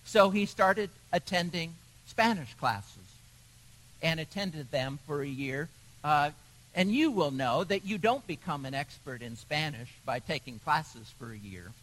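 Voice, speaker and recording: A man delivers a sermon at an unhurried pace. His voice sits a little high, and he tells stories in a measured way with pauses. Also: animated, fairly bright tone, rough recording. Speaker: pastor.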